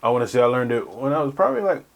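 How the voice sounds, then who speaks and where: A young man from Jamaica, a DJ guest, telling his story in a relaxed, easygoing way. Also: mid-range voice, racing, creaky. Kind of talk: casual interview.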